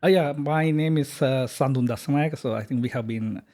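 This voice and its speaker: Gravelly-voiced Sri man, hesitant. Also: mid-range voice, moderate pace, darkish tone. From Sri Lanka. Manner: hesitant, many fillers and restarts. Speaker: guest, software company founder. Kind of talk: casual interview conversation. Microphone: podcast microphone.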